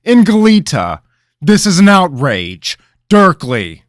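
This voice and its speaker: A man voicing a menacing, arrogant king who speaks slowly and loudly with threat in his voice. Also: fairly high pitch, huge pitch swings, no echo, clean studio sound, pitched above the performer's own voice, exaggerated voice. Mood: furious. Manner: loud, slow, dangerous commands.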